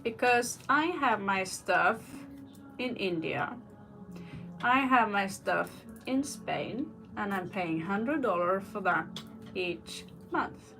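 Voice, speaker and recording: A woman gives a live tarot reading at a slow, unhurried pace. She rambles and drifts into personal asides between the cards. Faint music plays underneath her voice. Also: medium pitch, animated, low-quality recording. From Norway. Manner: rambling, personal asides, reads out viewer questions. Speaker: tarot reader. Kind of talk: live tarot reading, chatty answers to viewer questions.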